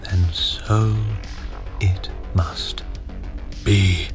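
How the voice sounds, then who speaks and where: An actor playing a gloating, taunting villain in an audio drama, with a drawn-out, mocking, theatrical delivery. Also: male voice, sits low, fairly even intonation, over music.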